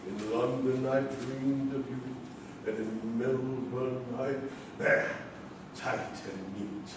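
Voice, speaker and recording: Heard from a distance in a cavernous, echoing theatre, a man plays a sadistic villain of stage comedy. He talks slowly and deliberately, with cold menace and command. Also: medium pitch, steady tone, close to an everyday voice. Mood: gloating. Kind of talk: live stage comedy acting. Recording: very echoey theatre, distant mic, noisy.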